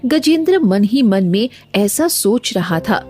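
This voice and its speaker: A woman's voice: medium pitch, big pitch swings, slow pace, mellow. A woman reading scripted narration for a cartoon moral story. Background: background music.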